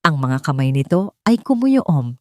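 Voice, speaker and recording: Female narrator for romance fiction, lower register, dramatic scripted storytelling, dry sound. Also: very animated, unhurried, dark tone, dry recording. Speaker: storyteller of Tagalog romance audio stories.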